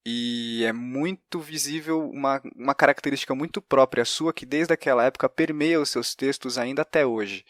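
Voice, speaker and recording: A man's voice: mid-range voice, moderate pace, hardly any pauses, no echo, clean studio sound. A man with a Brazilian accent hosting a conversational interview, hesitantly searching for his words. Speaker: podcast host.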